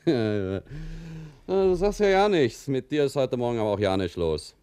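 An actor playing a jovial but self-protective mailroom clerk in a wartime radio drama, with a chatty, colloquial, cajoling manner. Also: male voice, medium pitch, big pitch swings, normal speed, warm tone, smooth, no echo, lightly acted. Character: jovial, self-protective male Nazi-era Berlin mailroom clerk, family man.

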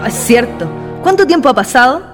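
Radio drama: female actor as earnest young woman, very high voice, reverberant sound, curious by temperament. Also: very animated, moderate pace. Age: young adult. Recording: long reverb, faint music.

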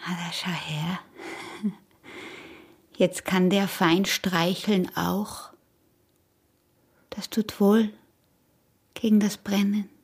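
Teasing Austrian peasant wife. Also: female voice, medium pitch, flat delivery, very slow, pauses often, husky, exaggerated voice. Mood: seductive. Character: flirtatious, sly peasant wife of a smuggler, teasing. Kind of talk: intimate radio drama dialogue in dialect.

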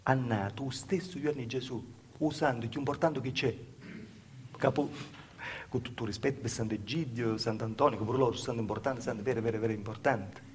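A man's voice: medium pitch, brisk, slight background noise, natural voice. An actor playing a simple, devout, grieving Calabrian peasant mother, telling a rambling, repetitive story in a theatrical dialect monologue. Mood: wondering, insistent, then proud, wistful.

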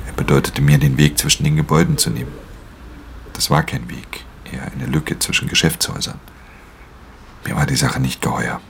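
Very deep, gravelly, hushed pickpocket. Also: male voice, steady tone, brisk, a little hiss or hum. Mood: wary, hushed. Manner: hushed, breathy, inward first-person narration. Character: weary male pickpocket, wounded, recounting his own downfall. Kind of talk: radio play narration.

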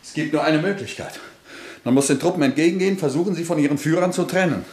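Brief: actor as a fervent revolutionary leader in a radio play, fast-talking, roomy sound. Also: male voice, on the high side, darkish tone, natural voice. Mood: urgent. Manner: urgent, insistent, rising fervor. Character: determined young male revolutionary leader. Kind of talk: radio drama acting.

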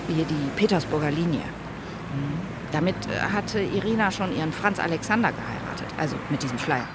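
An actress playing a gushing devotee of royalty, chatting hesitantly and savouring every detail in a naturalistic radio drama. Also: female voice, sits low, expressive, fairly quick. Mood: dreamy enthusiasm. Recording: loud outdoor urban traffic noise.

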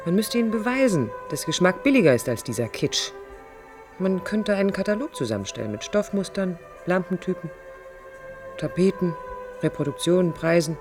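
A woman's voice: lowish voice, sing-song, moderate pace. Young idealistic female architect, radio play, reflective inner monologue, literary delivery, music in the recording. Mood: earnest, eager.

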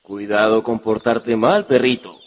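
A man's voice: lowish voice, unhurried, slight background noise, lightly acted. A man playing a playful, caring adult son and dog owner in a radio drama.

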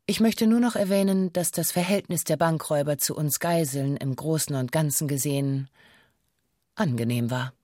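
A woman's voice: sits low, normal speed, dark tone, lightly acted. An actress playing a female bank hostage who gives a formal, matter-of-fact witness statement in a documentary radio drama. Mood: sober recounting.

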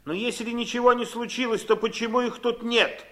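A man's voice: very high voice, flat delivery, brisk, a bit bright. An actor playing an irritable, bullying, grasping middle-aged father in a radio drama, brusque and given to nagging repetition. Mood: impatient, suspicious. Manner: brusque, nagging, repeats himself for emphasis. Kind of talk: theatrical radio drama acting.

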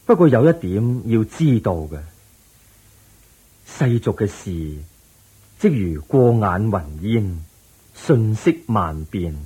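A serene, wise Buddha in a radio drama, preaching in Hong Kong Cantonese. The voice is low and very husky, unhurried and solemn, with drawn-out phrases. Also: male voice, mellow, full character voice. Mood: grave, gentle. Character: serene, wise Buddha patiently teaching his disciples.

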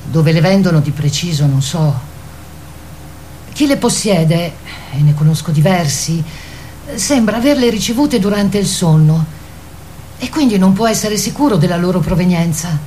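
A woman performing as a measured, matter-of-fact storyteller in literary radio theatre. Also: mid-range voice, warm tone, a little hiss or hum, close to an everyday voice. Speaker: narrator.

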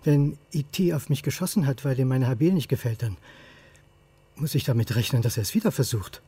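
An actor playing an anxious, suspicious male historian in a radio crime drama, thinking aloud in halting mutters. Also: mid-range voice, animated, warm tone, slightly rough. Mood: wary. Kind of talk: radio drama acting with inner monologue.

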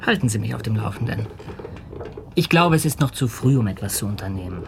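Composed ship's captain, noisy background. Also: male voice, fairly low pitch, fairly quick, vocal fry. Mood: calm, questioning. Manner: calm, courteous, reflective. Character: composed, authoritative male ship's captain on polar voyage. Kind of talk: radio play acting.

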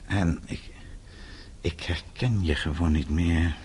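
Deep-voiced, wounded elderly father. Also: slow pace, darkish tone. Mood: hurt, reproachful. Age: older. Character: wounded, principled elderly father, protective and disillusioned. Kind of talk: radio drama acting. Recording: radio play with faint background noise.

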